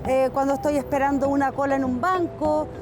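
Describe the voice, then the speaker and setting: A woman's voice: sits high, steady tone, brisk, over music. A Chilean woman in her fifties chatting in a street interview outdoors. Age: 50s.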